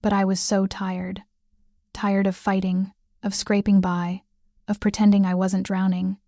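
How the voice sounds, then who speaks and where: A woman narrating a first-person romance audiobook in a steady storytelling manner. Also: mid-range voice, unhurried, no room sound, clean studio sound.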